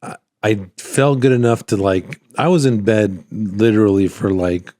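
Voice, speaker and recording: A man with a deep, low voice talks casually as a solo podcast host. His voice is somewhat hoarse from a recent illness and falters at times. He rambles in a halting way, repeating phrases, and the sound is dry with very little room echo. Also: darkish tone. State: hoarse, voice cutting in and out after illness. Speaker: podcast host, small business owner.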